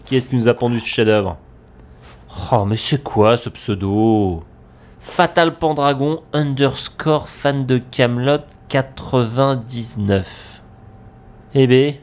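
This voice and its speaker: A man's voice: mid-range voice, slow pace, darkish tone. A middle-aged man acting a grumpy, sarcastic forum administrator in a comic audio drama. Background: background music.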